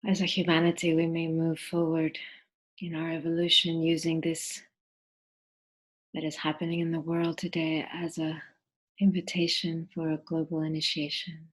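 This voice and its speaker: A woman leading a guided meditation in a soft, breathy, husky voice, gentle and deliberate, with pauses between phrases. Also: low-pitched, completely flat delivery.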